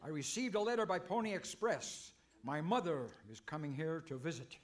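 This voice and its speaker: Guy playing sheepish western hero, slightly high voice, earnest and flustered, radio comedy style. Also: sing-song, normal speed. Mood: fretful. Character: flustered, sheepish masked cowboy hero at home. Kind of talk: old-time radio comedy acting.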